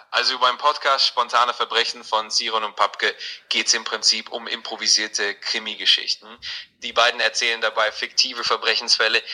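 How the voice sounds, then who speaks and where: Synthetic male assistant voice, bright and husky, relentlessly agreeable, heard through a phone. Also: on the low side, poor audio. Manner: polite, neutral, overly agreeable. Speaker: AI chatbot voice. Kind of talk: scripted-sounding assistant replies. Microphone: played from a phone speaker.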